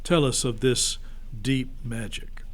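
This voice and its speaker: A man voicing a calm, commanding male lion in a storybook, grave and measured. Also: mid-range voice, natural voice. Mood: grave authority.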